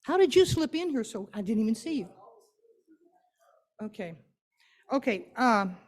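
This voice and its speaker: A woman's voice: a little high, very animated, unhurried, darkish tone. A woman giving an informal, playful and conversational talk to a group, coaxing them to join in. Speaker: guest speaker leading an interactive church talk.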